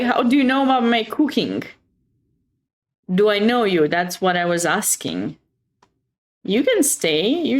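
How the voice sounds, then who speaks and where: A woman with a Romanian accent hosting a chess livestream, chatting casually and expressively with viewers between bits of commentary. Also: fairly high pitch.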